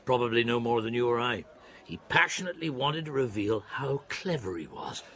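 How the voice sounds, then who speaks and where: An actor playing a weary, dogged middle-aged police detective who narrates his case in a radio drama, with a gravelly voice. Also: medium pitch, animated, a little fast, a bit bright, natural voice. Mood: reflective, brooding. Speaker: narrator and lead character. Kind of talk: radio drama acting, first-person narration.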